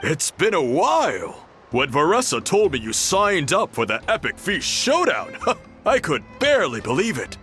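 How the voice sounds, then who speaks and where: Upbeat male guardian, animated and warm-spoken, faint music behind. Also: on the high side, moderate pace. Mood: friendly. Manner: warm, grateful, lively storytelling. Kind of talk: game voice acting. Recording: game audio with faint music.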